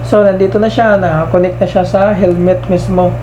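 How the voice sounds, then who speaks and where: A man giving a casual hands-on product demonstration as a reviewer, halting and repeating himself as he searches for words. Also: high voice, little pitch movement, muffled recording, noisy background.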